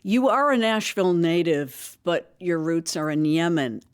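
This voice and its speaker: Interviewer asking short curious questions, dry humor, calm middle voice. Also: mid-range voice, a little slow, clean tone.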